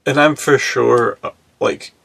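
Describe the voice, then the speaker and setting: A man's voice: medium pitch, fairly even intonation, measured pace, gravelly, fairly bright tone, no echo. A man co-hosting a podcast in casual chat, talking in laid-back bro slang. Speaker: podcast co-host.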